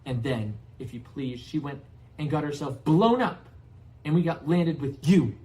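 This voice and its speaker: A man's voice: on the high side, animated, unhurried, mellow. A man voicing a spiteful middle-aged aunt who rants resentfully and stammers with disgust in a storybook reading. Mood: bitter, contemptuous.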